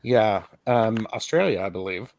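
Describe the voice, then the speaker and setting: A man's voice: on the low side, lively intonation, measured pace, darkish tone, no echo. Chatty man telling stories. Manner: chatty, storytelling with acted asides. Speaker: co-host, tarot reader. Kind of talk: casual chat.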